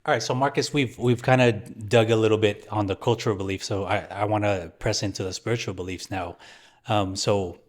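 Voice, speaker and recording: Guy from san francisco, lowish voice, chatting as a podcast cohost. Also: steady tone, a little fast. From San Francisco, USA. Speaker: podcast co-host. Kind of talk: casual chat.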